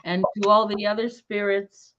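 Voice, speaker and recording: A woman hosting a live paranormal investigation stream, talking in a calm, soothing way. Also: medium pitch, noisy background. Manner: slow, calm, soothing delivery. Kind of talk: live-stream ghost hunt chat. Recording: dull lo-fi stream audio.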